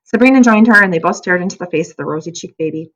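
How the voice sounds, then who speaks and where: A woman narrating a children's book aloud as an expressive storyteller. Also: lowish voice, racing, dull audio.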